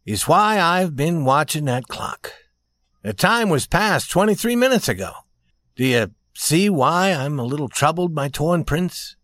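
A man voicing a troubled, wry young gentleman who is losing hope, in a storybook reading. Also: on the high side, lively intonation, a little slow, dry recording, studio quality, pitched above the performer's own voice. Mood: hopeless, wry.

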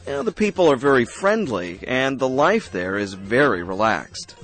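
An eager young college student. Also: male voice, mid-range voice, big pitch swings, normal speed, a bit bright, faint music behind, rough recording. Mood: friendly. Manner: friendly, eager questions. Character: friendly young male college student from a small town. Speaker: dialogue actor. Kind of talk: scripted radio lesson dialogue.

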